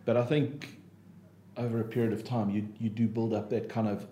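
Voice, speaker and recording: A man with a South African accent, a guest speaker and trainer, talking in a relaxed, chatty way with frequent ums. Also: lowish voice, a little fast. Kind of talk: casual podcast chat. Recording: echoey room, faint noise.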